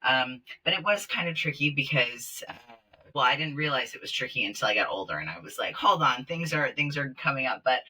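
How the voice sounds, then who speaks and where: Bright-voiced chatty man from Kenya. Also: medium pitch, brisk, recorded from a distance, poor audio. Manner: chatty, lots of fillers, lively when retelling stories. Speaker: podcast guest, company founder. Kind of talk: casual interview chat. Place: small closet studio, in person.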